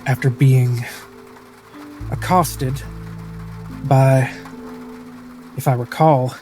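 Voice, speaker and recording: A man plays a tabletop roleplaying game in a casual, dry, halting way. His mid-range voice stays even, over clearly audible music. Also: mid-range voice. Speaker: player.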